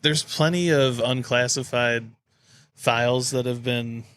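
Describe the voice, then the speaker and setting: A man's voice: medium pitch, steady tone, a little slow, fairly bright tone, clean tone. A North American man co-hosting a casual chat show, talking in a laid-back way with wry interjections. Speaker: podcast co-host.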